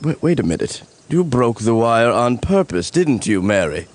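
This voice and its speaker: A man voicing a fussy, pompous middle-aged gentleman and sore loser in a cartoon, given to flustered stammering. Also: mid-range voice, big pitch swings, normal speed, lightly acted. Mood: peevish. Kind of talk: animated comedy dialogue.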